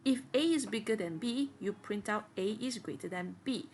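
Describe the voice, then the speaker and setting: A woman's voice: medium pitch, lively intonation, normal speed, darkish tone. A woman teacher patiently walking students step by step through programming exercises in a screen-recorded lesson.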